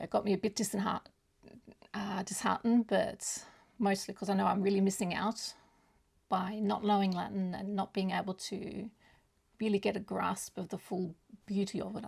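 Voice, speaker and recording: Gravelly, husky woman chatting casually. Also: mid-range voice, little pitch movement, normal speed, pauses often, a little mellow. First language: German. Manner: rambling, hesitant, often losing her thread. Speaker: book vlogger. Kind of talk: casual, chatty monologue to viewers.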